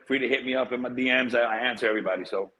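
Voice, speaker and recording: A man's voice: medium pitch, fairly even intonation, rapid-fire, a loud crowd. A man talking as a guest in a casual chat over a video call, rambling and asking "right?" again and again. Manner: rambling, asks "right?" often.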